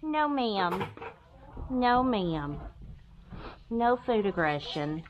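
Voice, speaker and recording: A woman chatting and calling to farm animals in a wooden barn, cooing at them in a high sing-song voice. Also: very animated, extremely slow, highs cut off, a little hiss or hum. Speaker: vlogger feeding farm animals. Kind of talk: casual chat to animals.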